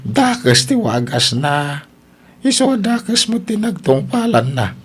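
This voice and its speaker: Casting: male actor as gentle old father in radio drama, husky, widely swinging pitch. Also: very animated, measured pace, full character voice. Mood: grave. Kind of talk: Ilocano audio drama acting.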